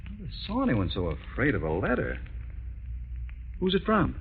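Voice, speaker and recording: An actor playing a worried, suspicious husband in a vintage radio drama, speaking in an insistent, probing way. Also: male voice, mid-range voice, huge pitch swings, a little fast, a little hiss or hum. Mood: suspicious, demanding. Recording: dull vintage radio recording.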